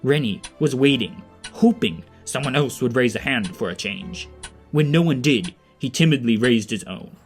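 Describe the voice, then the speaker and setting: A man's voice: mid-range voice, lively intonation, moderate pace, faint music behind. A man narrating an audio drama in a scripted storybook style.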